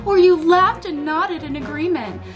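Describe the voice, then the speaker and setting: A smug male internet commenter, as a woman acts him out in a high voice. He is condescending and theatrical, patronizing whoever he addresses in a dramatic comment read. Music plays underneath. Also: moderate pace, fairly bright tone. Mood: smug, accusing.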